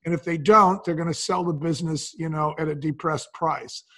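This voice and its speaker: A man with a fairly high, rough-edged voice talks as an interview guest. He explains business in a folksy, emphatic manner. He often poses a question and then answers it himself. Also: steady tone, moderate pace, fairly bright tone. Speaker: guest, business advisor and brand founder.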